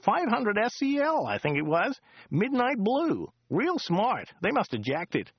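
A man doing a loud, high-voiced rambling driver. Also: wildly swooping pitch, unhurried, husky, dry recording, muffled recording, exaggerated voice. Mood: rambling chatter. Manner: rambling, repeats himself, laughs at own jokes. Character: chatty loud male American taxi driver. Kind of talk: audiobook character voice.

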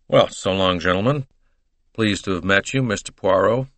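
A man's voice: low-pitched, normal speed, a bit bright, no room sound. A man voicing a breezy, slangy private detective in an audiobook. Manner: blunt, casual.

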